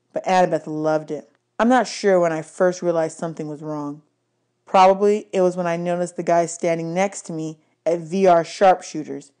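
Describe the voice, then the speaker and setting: A woman reading an audiobook aloud in an expressive storybook style. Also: fairly low pitch. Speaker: audiobook reader.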